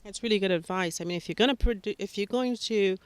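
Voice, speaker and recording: A woman's voice: mid-range voice, quick pace. A woman interviewing a musician, friendly and affirming as she asks open questions.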